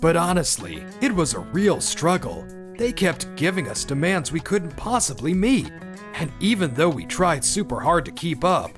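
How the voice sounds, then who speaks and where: A man acting an earnest, animated businessman in an anime-style manga dub. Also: a little high, moderate pace. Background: music.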